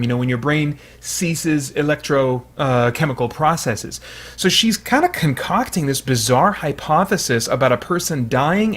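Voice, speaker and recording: A man's voice: fairly high pitch, fairly bright tone. A man hosting a channel, giving casual, partly read commentary in a hesitant, thoughtful, explanatory way.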